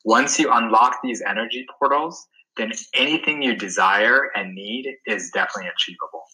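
A man from Sri Lanka speaks with a very bright, forward tone set low in his range. He answers interview questions as a wellness guest, earnestly, pushing up on the words he wants to land. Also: a little fast, rough recording.

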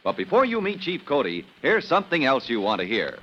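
Old radio announcer guy, lively swingy delivery, muffled recording. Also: medium pitch, brisk. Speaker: announcer, narrator. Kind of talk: scripted 1940s-style radio serial announcing. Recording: dull old radio recording, faint noise.

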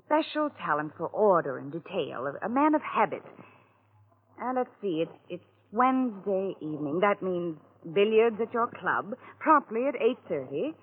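A woman's voice: mid-range voice, sing-song, normal speed, breathy edge. An actress playing a shrewd, controlling wealthy woman, frank and dryly knowing, in a 1940s radio drama. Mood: firm, frank. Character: shrewd, plain-spoken, controlling wealthy bride-to-be. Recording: tinny vintage radio recording.

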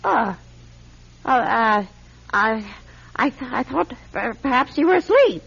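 An actress playing a nosy, prim, stubborn spinster neighbour in a radio drama, with fussy, affectedly genteel manners. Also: female voice, on the high side, expressive, takes it slowly, creaky, a bit bright, husky, exaggerated voice. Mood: flustered. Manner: fussy, mannered, flustered stammer giving way to pointed formality. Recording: dull, lo-fi radio drama recording.

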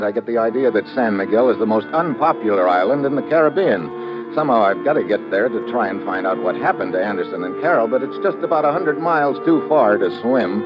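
An actor playing a wry, tough American government agent with an American accent, deadpan and wisecracking in hardboiled old radio drama style. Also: male voice, fairly low pitch, close to an everyday voice. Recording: dull old radio recording, music behind.

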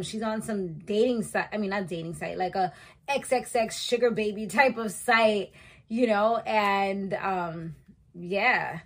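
A chatty woman in her thirties talks through a reality show recap in a loose, conversational way. She is animated and slangy, breaking into laughs and quick asides. Her voice sits at an ordinary middle pitch. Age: 30s. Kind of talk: casual chat.

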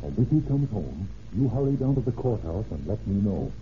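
An actor playing a patient American county sheriff in an old-time radio drama. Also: male voice, on the low side, sing-song, fairly quick. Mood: coaxing. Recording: noisy lo-fi old radio recording.

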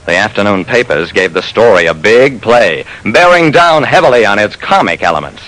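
A man's voice: fairly low pitch, big pitch swings, normal speed, fairly bright tone, poor audio. A man narrating a classic radio detective drama as its lead, in a wry, dramatic first-person storytelling manner.

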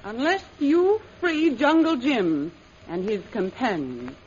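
A shrewd, sardonic adventuress in an old radio drama. Her delivery is cool and knowing, with wide swings in pitch. The recording is muffled and faintly noisy. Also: animated, slow. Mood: defiant. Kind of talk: 1930s radio serial acting.

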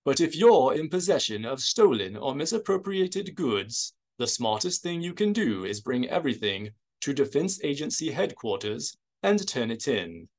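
A man's voice: mid-range voice, big pitch swings, normal speed, few pauses, darkish tone. Stern male security colonel for an audiobook, guarded and stiff, used to command acted by a male reader. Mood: stiff, hard.

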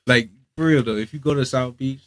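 A man's voice: mid-range voice, a little fast, very noisy. A young man from the Bahamas, a radio show guest, talking loosely and casually with many fillers. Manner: loose, laid-back, lots of "like" fillers. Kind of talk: casual interview chat.

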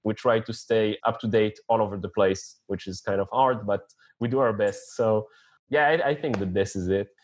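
A man's voice: on the low side, moderate pace. French-speaking man giving earnest tips. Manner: searches for words, hesitant fillers, earnest advice-giving. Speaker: podcast guest, online store founder. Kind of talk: casual interview talk.

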